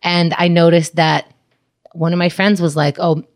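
A woman's voice: on the low side, flat delivery, normal speed. A woman co-hosting a casual podcast chat, telling personal stories in a candid, reflective way with blunt asides.

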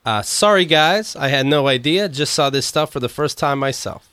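A man hosts a loose, joking chat and teases the people around him. His pitch swings widely from line to line. The recording is dry and close. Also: lively intonation, normal speed, bright, forceful tone, clean tone, no room sound. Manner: joking, teasing. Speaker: host. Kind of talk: casual chat.

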